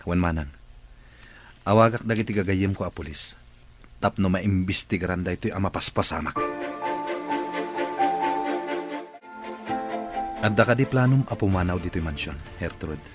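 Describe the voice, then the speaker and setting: A man playing a kindly, grateful master of a mansion in an audio drama, husky-voiced, courteous and quietly authoritative. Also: low voice, brisk, soft background music, natural voice. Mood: concerned, firm.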